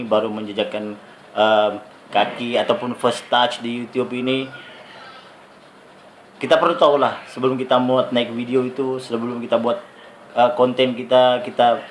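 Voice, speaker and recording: A man's voice: medium pitch, steady tone, normal speed, pauses often, slight background noise, poor audio. A man from Sabah speaking with a Sabah Malay accent, giving a rambling, casual talk to his channel viewers. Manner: chatty, rambling, with many fillers. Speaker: YouTuber.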